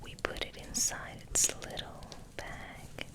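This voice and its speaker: A woman speaks in a breathy, unvoiced whisper as an ASMR host. Her delivery is very slow and gentle, with soothing affirmations and softly repeated words.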